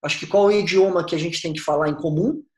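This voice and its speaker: A man's voice: fairly high pitch, fast talker. A man from Brazil, introducing a discussion in a friendly, explanatory way. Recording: roomy echoing room.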